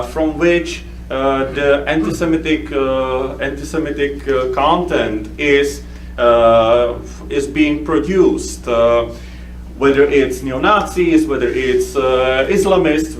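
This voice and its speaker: Czech guy giving a conference talk, slow and halting, lots of uhs, echoey hall, mic kinda far off. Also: medium pitch. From Czech Republic. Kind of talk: conference presentation. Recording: echoey room recorded from a distance with faint hum.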